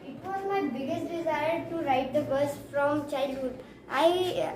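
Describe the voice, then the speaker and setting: A woman's voice: sits high, background noise. A teenage girl with an Indian accent, a student recounting an experience in a classroom dramatization. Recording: roomy classroom with crowd murmur.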